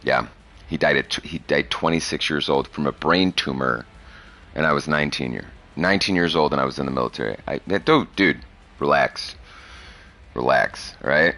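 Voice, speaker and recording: A man's voice: rumbling low, normal speed, pushed and bright. A man in his thirties talking bluntly and profanely in a rambling casual podcast chat. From Chicago area. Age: 30s. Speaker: podcast host.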